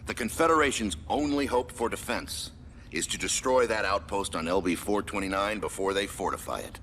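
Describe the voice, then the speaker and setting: An actor playing a proud, stern military commander who speaks with solemn, emphatic authority in a video game. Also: male voice, on the low side, fairly quick, a bit bright, slight background noise, close to an everyday voice. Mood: grave. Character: proud, stern male military commander briefing his elite squad. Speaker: commanding officer. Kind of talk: dramatic video game cutscene acting.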